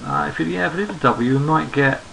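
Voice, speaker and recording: A hoarse man who is losing his voice, talking through market charts in an unhurried, think-aloud way and often repeating words as he goes. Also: fairly high pitch, sharp tone, a little hiss or hum. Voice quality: gravelly. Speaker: trading analyst. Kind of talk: screen-recorded market chart commentary.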